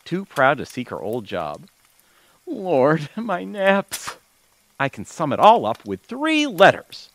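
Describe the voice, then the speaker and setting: A man's voice: fairly high pitch, huge pitch swings, slow pace, vocal fry, a bit bright, exaggerated voice. A man playing a grumbling, self-pitying retired husband in an audio theater comedy, theatrical and mock-tragic. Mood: aggrieved.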